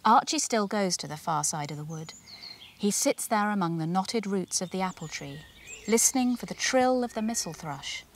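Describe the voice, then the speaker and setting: Casting: female storyteller for picture books, medium pitch with lots of swoop, expressive and deliberate, dramatic emphasis. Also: a little hiss or hum. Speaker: author reading her own book. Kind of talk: expressive children's storybook narration.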